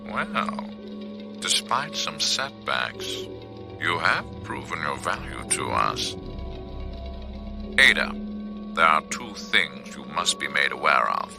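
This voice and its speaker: Game villain: extremely low, gravel-edged voice, cold and commanding, measured menace, music underneath. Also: male voice, sing-song, heavily acted. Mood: calculating, commanding. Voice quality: deep, gravelly. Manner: measured, ominous, formal. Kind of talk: dramatic video game cutscene acting.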